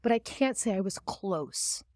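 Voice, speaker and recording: A woman guest in a conversational interview, talking about her book in a reflective, soft-spoken storytelling manner. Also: mid-range voice, lively intonation, unhurried, no echo, clean studio sound. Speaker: author and guest. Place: studio.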